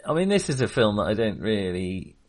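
A man's voice: on the low side, sing-song, fairly quick. A man co-hosting a film podcast, talking casually in a tentative, self-qualifying, agreeable way.

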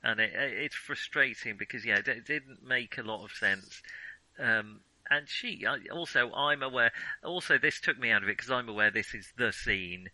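A man's voice: medium pitch, normal speed, bright, forceful tone, dry recording, rough recording. A man in a casual film discussion as a podcast co-host, thinking aloud and often correcting himself as he goes.

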